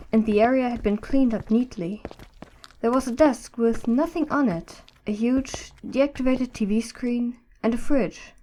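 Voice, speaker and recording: A woman's voice: on the high side, darkish tone, a little hiss or hum, low-quality recording. A woman narrating an audio drama in scripted storytelling style.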